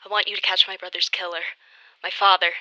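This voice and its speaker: Crime boss's determined daughter, piercingly bright, dry close sound, brisk and commanding. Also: female voice, lowish voice, flat delivery, dry recording, muffled recording. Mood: urgent. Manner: brisk, insistent, formal.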